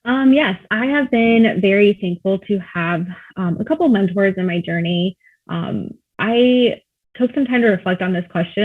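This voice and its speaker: A man answering as a panelist in a discussion, earnest and filling pauses with "um". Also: high voice. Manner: earnest, many fillers. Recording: tinny phone-like line.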